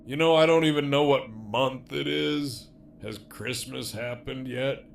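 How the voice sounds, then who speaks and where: A man's voice: mid-range voice, unhurried, creaky, slight background noise. A man playing a sardonic, foul-mouthed jailed villain in an audio drama, with a wry, sarcastic drawl. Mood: wry, resigned.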